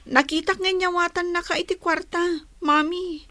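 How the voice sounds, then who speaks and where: A woman's voice: extremely high pitch, fairly even intonation, moderate pace, sharp tone, no room sound. A woman playing an earnest, questioning teenage daughter with a Filipino accent in a radio drama. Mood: upset, questioning. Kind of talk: Ilocano radio drama acting.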